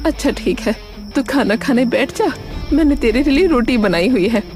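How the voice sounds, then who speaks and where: A woman's voice: fairly high pitch, lively intonation, moderate pace, voice pushed up, natural voice. Woman voicing an anxious, tender elderly village mother. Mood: worried, pleading. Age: older. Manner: pleading, hesitant. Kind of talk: Hindi animated story dialogue. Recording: music under the voice.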